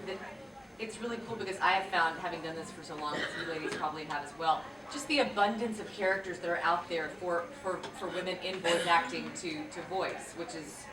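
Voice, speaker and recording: A woman voice actress talking casually on a convention panel, chatty and full of restarts. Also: on the low side. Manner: chatty, with frequent restarts and fillers. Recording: echoey hall, distant mic, noisy.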